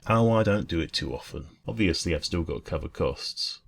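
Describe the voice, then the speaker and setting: A man playing a friendly, caring dragon who runs a cosy café, talking gently and reassuringly in an ASMR roleplay. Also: deep voice, expressive, a little fast, vocal fry, full character voice. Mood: cheerful, chatty.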